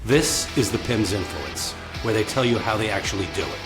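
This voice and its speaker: A man hosting an interview podcast, talking in a relaxed, chatty way with lots of fillers. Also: on the low side, moderate pace, over loud music. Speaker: podcast host. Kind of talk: conversational interview.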